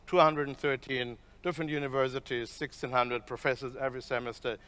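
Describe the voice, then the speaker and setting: Higher-pitched middle-aged man giving a keynote. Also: a bit bright. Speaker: university professor, keynote speaker. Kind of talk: conference keynote presentation. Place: large conference stage, indoors. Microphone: headset.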